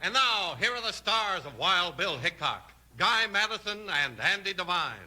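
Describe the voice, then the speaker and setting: Vintage American male announcer, 1950s radio ad delivery, lively swooping pitch, music underneath. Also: moderate pace.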